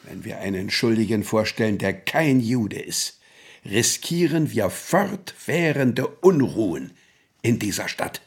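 An actor playing an evasive, authoritative Prussian senior official in a German radio drama, formal and deliberate. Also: male voice, fairly low pitch, sing-song. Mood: grave, evasive.

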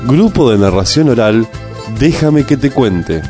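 Narrador argentino de cuentos con música. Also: male voice, medium pitch, lively intonation, a little slow, dark tone. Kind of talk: scripted radio ad narration. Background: music bed.

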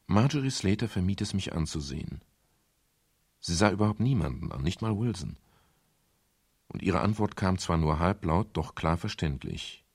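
A man's voice: sits low, animated, moderate pace, darkish tone, slightly rough, no echo, heavily acted. An actor playing a dry, laconic male private detective who is hesitant but unflappable, in a German radio crime drama. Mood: dry narrating. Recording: noisy 1970s radio drama recording.

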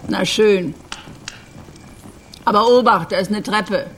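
An actress in a radio drama playing a caring but bossy country housewife who talks in a brisk, colloquial way. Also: female voice, mid-range voice, fairly bright tone, slight background noise. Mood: brisk, solicitous. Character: brisk, caring rural housewife hosting a stranger.

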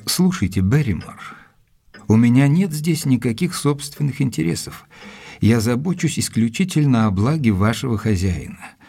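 An actor playing an earnest gentleman doctor in an audio drama, narrating and questioning in a measured, serious, deliberate way. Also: mid-range voice, expressive, creaky. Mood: probing, earnest.

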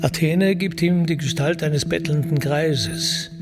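A man narrates an ancient epic with slow, solemn weight. His voice sits a little high and carries a marked gravelly creak. The delivery is declamatory literary recitation, with loud music running underneath. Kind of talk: dramatic literary recitation of classical verse in a radio play.

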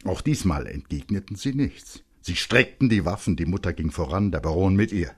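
A man narrating a literary story dramatically and intensely, with pointed pauses and phrases that sink into a hush. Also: sits low, animated, slightly rough.

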